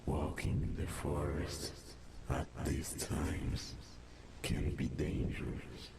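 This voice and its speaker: A man voicing a big bad wolf with a gruff voice, speaking with slow, quiet menace in an analog horror cartoon. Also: rumbling low, monotone, full character voice. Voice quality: gruff, gravelly. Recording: noisy analog horror video audio.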